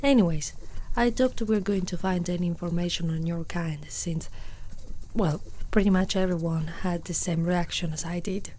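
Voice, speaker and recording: Soft, mellow centaur girl, voiced by a woman. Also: fairly low pitch, slight background noise, lightly acted. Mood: reassuring. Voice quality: soft, breathy, often near whisper. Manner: tender, unhurried, speaking closely to one listener. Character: gentle, protective, curious young female centaur. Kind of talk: ASMR roleplay.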